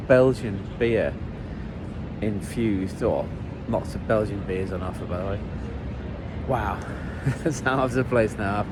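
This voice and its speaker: A man vlogging as he walks city streets, chatty and jokey with rambling asides, a bit hoarse and run down, talking into a handheld camera. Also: lowish voice, takes it slowly. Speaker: football travel vlogger. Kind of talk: walking travel vlog chat. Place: outdoors on city streets, walking. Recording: street traffic noise behind.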